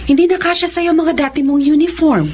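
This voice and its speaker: A woman's voice: sits high, fairly quick, darkish tone, slight background noise, close to an everyday voice. A woman acting in a Filipino radio drama as a loving, middle-aged mother, reassuring and easily flustered. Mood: pleased.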